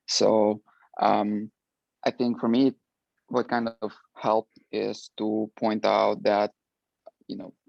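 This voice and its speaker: Slow, gravelly man. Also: lowish voice, darkish tone, no room sound, lo-fi sound. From Ukraine. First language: Russian. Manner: hesitant, many filler words. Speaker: alumni panelist. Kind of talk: panel discussion answer.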